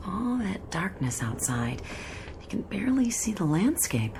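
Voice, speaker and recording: A woman's voice: mid-range voice, sing-song, measured pace, creaky, noisy background. A woman acting in a radio drama as a polite, chatty passenger on a train. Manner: polite, conversational.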